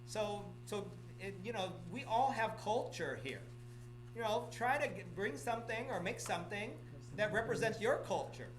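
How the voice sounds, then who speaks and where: A man's voice: sits high, animated, moderate pace, slight background noise, low-quality recording. A middle-aged man from Vietnam gives announcements at an office meeting, repeating himself and often checking in with "okay?". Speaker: real estate office manager or broker.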